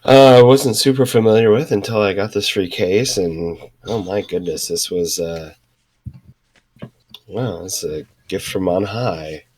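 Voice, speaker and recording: A man's voice: low-pitched, measured pace, a little mellow, no room sound. A man sick with a cold co-hosting a casual beer show, rambling with many fillers and trailing off while he looks things up. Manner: rambling, hesitant, vamping with fillers. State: sick, voice thick from illness. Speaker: podcast co-host. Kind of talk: casual chat.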